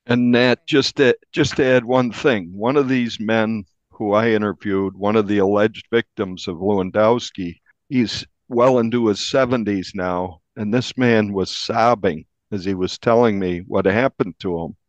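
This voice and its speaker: A man speaking as an investigative journalist in an interview, telling what he reported in a measured, descriptive, conversational way. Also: on the low side, fairly even intonation, dry recording. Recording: dull, band-limited audio.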